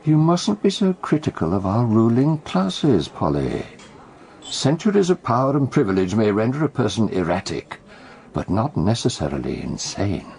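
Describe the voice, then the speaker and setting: An actor playing a wry, erudite older British gentleman in a radio detective drama, speaking in a measured way. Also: on the low side, very animated, creaky. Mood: wry, reflective.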